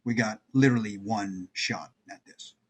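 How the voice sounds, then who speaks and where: A man playing a brisk, confident heist planner in a screenplay table read, matter-of-fact and decisive. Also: on the low side, slightly rough. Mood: businesslike.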